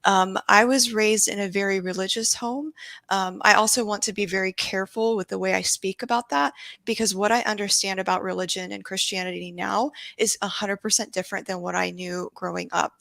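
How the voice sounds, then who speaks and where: A woman's voice: mid-range voice, steady tone, brisk, vocal fry, fairly bright tone, dry recording. A woman from Japan speaking as a guest expert in an interview, earnest and explanatory, choosing her words with care and consideration.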